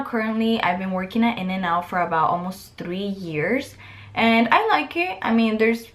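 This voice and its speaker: A woman's voice: medium pitch, a bit bright. Young woman, from Mexico, Spanish native, casual chat to camera, filler-heavy, bedroom, close camera mic. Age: young adult. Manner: chatty, many "like" fillers. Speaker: vlogger. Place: bedroom, indoors.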